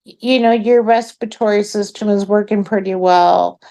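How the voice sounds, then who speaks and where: A middle-aged woman, a guest in a casual chat, with a loud voice and a friendly, drawn-out way of talking. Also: mid-range voice, steady tone.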